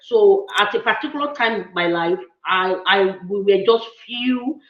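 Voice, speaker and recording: A woman's voice: medium pitch, measured pace. Nigerian lady telling her own story in an interview, repeats herself for emphasis, echoey lo-fi audio. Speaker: interview guest. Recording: roomy echo, dull lo-fi sound.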